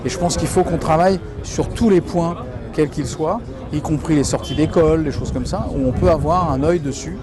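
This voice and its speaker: A man's voice: a little high, moderate pace, crowd noise. A man, a local elected official, explaining things in an interview in colloquial, hesitant phrasing.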